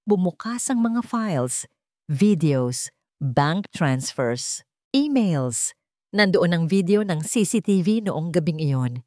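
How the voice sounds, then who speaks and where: Audiobook narrator: woman, lowish pitch, dramatic first-person storytelling, very dry recording. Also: animated, slow pace, darkish tone, no echo.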